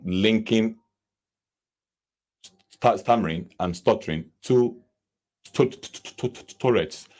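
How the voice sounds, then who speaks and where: Deep-voiced man who stammers. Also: darkish tone. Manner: stammers, repeats sounds and words. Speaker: guest speaker on stammering. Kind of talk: radio studio interview. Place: radio studio.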